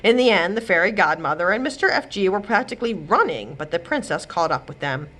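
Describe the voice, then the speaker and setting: Woman doing kids storytime narration, very expressive, pitch moves around a lot. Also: fairly quick. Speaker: children's library read-aloud reader.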